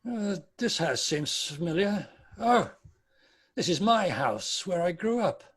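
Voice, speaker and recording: Man acting old miser, high-pitched voice, frightened, stubborn, amateur drama table read. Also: very animated, slow, fairly bright tone, breathy edge, exaggerated voice. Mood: shaken, wistful.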